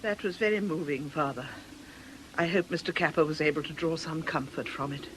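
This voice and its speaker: A man's voice: fairly high pitch, a little fast, fairly bright tone, a little hiss or hum, lightly acted, poor audio. A male-sounding actor playing a concerned, confiding church companion in a British radio drama, solemn and polite.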